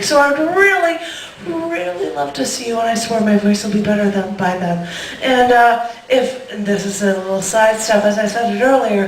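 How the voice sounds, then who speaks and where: A woman's voice: on the high side, slight background noise. A woman hosting an open mic who is losing her voice, giving rambling, giggly announcements. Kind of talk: casual announcements. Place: cafe performance room. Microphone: distant room mic. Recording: echoey room, far from mic.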